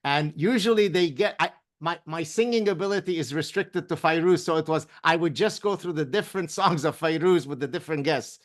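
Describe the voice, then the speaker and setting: A man's voice: a little high, brisk, few pauses. A man with a Lebanese accent hosting an interview, jovial and joking with his guest. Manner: jovial, joking, switches between English and Arabic. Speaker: host. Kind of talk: casual interview conversation.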